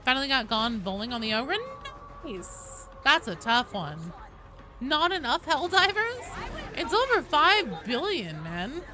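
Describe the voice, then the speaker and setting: A woman hosting a game livestream, chatting casually with viewers in an animated, sweary, self-deprecating way. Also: high-pitched, a little slow, faint music behind. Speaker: livestream host. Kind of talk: casual game-stream chat, answering viewer comments. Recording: clear background noise behind voice.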